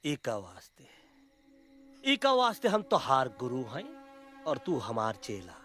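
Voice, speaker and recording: Cartoon casting: bossy, short-fused monkey, eastern Hindi-belt village accent, bright forceful tone, highly animated pitch. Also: male voice, slow, full character voice. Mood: bossy, scheming. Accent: eastern Hindi belt (Bhojpuri-Awadhi). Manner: rustic, blustering. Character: hot-tempered, bossy male monkey in a cartoon. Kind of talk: animated film character acting.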